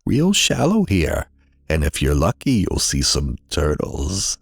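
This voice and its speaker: A man's voice: very deep voice, huge pitch swings, takes it slowly, vocal fry, husky, dry recording, studio quality, full character voice. Man voicing a sly old ferryman, drawling showman. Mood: luring. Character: sly, grinning old ferryman, secretly inhuman. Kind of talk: dramatized horror storytelling.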